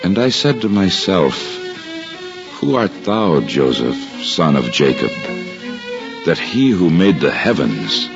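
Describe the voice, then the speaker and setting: An actor playing a reverent, humble biblical husband who narrates in solemn, formal, archaic language for a 1950s radio drama. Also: male voice, sits low, very animated, slow, heavily acted. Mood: reverent wonder. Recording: dull 1950s radio recording, music behind.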